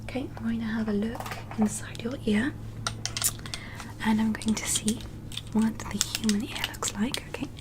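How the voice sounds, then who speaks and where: A woman voicing a gentle, curious alien medical examiner in an ASMR roleplay, whispering softly and giving careful, soothing instructions. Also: a little high, steady tone, normal speed, noisy background, lightly acted. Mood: calm, curious. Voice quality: soft breathy whisper.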